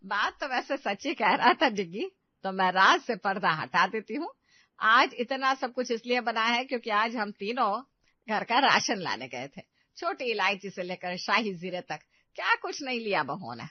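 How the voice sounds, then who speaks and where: A woman voicing a practical, talkative young-wife character in a household story, with an animated, protesting manner. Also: medium pitch, normal speed, no room sound, lo-fi sound. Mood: explaining. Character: practical, talkative elder daughter-in-law in a household.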